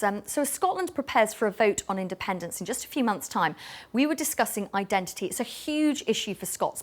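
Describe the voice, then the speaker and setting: A woman, hosting a radio discussion programme. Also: mid-range voice. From England. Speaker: radio host.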